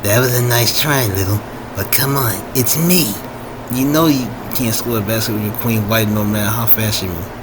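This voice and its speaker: A man's voice: on the low side, normal speed, background noise, lightly acted. A man voicing a teasing, affectionate female fantasy creature in a casual story reading. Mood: teasing, consoling.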